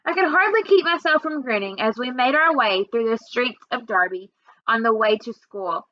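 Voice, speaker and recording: A woman reading a children's novel aloud in an expressive storytelling way. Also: fairly high pitch, moderate pace, no room sound, highs cut off. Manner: expressive, acts out dialogue. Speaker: reader.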